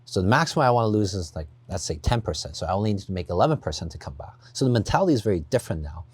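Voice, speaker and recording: A man's voice: on the low side, sing-song, very fast, breathy edge, no echo. A man who grew up in Taiwan, a podcast guest earnestly explaining his investing approach, often adding "you know" and "right?". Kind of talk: podcast interview.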